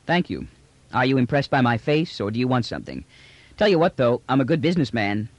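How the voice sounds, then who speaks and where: Audiobook role: brash prisoner trader, voiced by a man, colloquial and bland-faced cheek, lively swings in pitch. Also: brisk, dry recording. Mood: cheeky, bland. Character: brash, unruffled bearded male space trader prisoner, slangy. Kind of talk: audiobook character dialogue.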